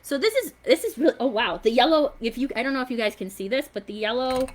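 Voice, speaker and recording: A woman hosting a children's story time, excitable and encouraging as she talks young viewers through a hands-on science demonstration in simple terms. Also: high voice, fast.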